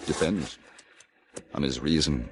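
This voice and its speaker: An actor playing a cool-headed frontier gentleman who narrates in the first person, understated and wry, in a 1950s radio drama. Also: extremely low pitch, slow pace. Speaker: narrator and lead character. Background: music.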